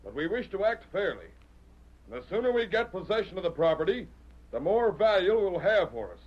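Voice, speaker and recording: An actor playing a slick, threatening crooked land agent in an old radio western, oratorical and domineering. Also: male voice, sits high, sing-song, brisk, breathy edge, exaggerated voice. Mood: brisk, domineering. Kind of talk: 1940s radio western acting. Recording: muffled old radio recording.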